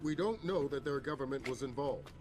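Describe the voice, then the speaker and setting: An actor playing an analytical senior intelligence adviser in a video game, measured, articulate and serious. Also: male voice, medium pitch, over music, close to an everyday voice. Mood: cautioning. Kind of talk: video game cutscene acting.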